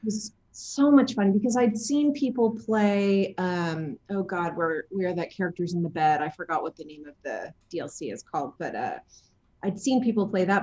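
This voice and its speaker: A woman chatting casually as an interview guest, talking in an effusive, animated storytelling way. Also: lowish voice. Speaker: interview guest, game voice and motion capture actor.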